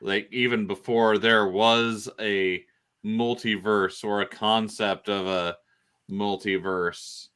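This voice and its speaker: A man explaining things casually on a podcast, in a halting way with pauses mid-phrase. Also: fairly low pitch, fairly even intonation, fairly bright tone, clear, resonant tone. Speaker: podcast panelist.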